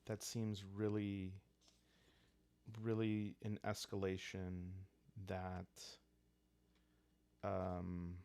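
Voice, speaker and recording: A man speaking softly and calmly, improvising a story for a solo roleplaying game and thinking aloud hesitantly. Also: low-pitched, clean tone. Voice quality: soft, calm. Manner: hesitant, thinking aloud with long pauses and sighs. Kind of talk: calm unscripted storytelling for sleep, journaling game playthrough.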